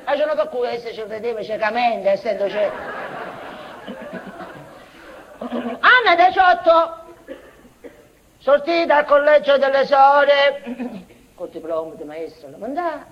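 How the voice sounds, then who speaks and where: Actress as a high-pitched unhinged former schoolteacher woman. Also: close to an everyday voice. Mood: wistful, halting. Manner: halting, repetitive, swinging between outbursts and muttering. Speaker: stage actress in a monologue. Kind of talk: theatrical comic-tragic monologue, broken invented dialect. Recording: dull, noisy theatre recording.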